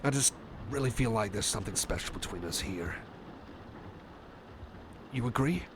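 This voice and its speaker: A man's voice: on the low side, animated, normal speed, background noise, lightly acted. A man voicing a shy, anxious, affectionate young male cyborg friend, stammering and apologetic in an intimate ASMR roleplay. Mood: grateful, tender. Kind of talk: ASMR roleplay, intimate one-sided chat.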